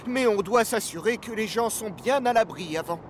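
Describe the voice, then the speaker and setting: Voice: mid-range voice, normal speed, slight background noise, close to an everyday voice. Un comédien de doublage interprète un petit bateau à voile, serviable mais anxieux, qui pousse souvent des cris et des soupirs inquiets. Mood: earnest. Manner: frequent worried gasps and cries. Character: small anxious but helpful male sailing boat. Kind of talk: French cartoon dub.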